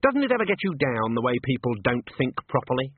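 A man's voice: medium pitch, big pitch swings, fast, narrow, tinny audio, slight background noise, lightly acted. Man as a blunt, stern old country witch. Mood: probing. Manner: blunt, probing, rustic grammar.

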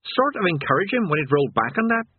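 A man voicing a weary, sullen male demon torturer with a coarse, gruff working-class manner. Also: on the high side, very animated, fast talker, dry recording, narrow, tinny audio, pitched above the performer's own voice.

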